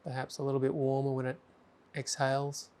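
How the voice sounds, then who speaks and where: A man guiding a meditation in a calm, gentle way. His voice is steady and even, with little rise and fall. He speaks unhurried instructions and leaves long silences between them. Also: mid-range voice. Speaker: meditation guide. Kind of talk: guided meditation.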